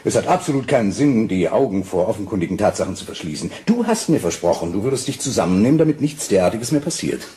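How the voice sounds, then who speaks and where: An actor playing a cold, domineering husband in a radio play, stern, reproachful and condescending, swinging from calm to harsh. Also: male voice, on the low side, quick pace, few pauses, slight background noise. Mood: cold, controlled. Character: cold, domineering husband tormenting his fragile wife. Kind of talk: German radio play acting.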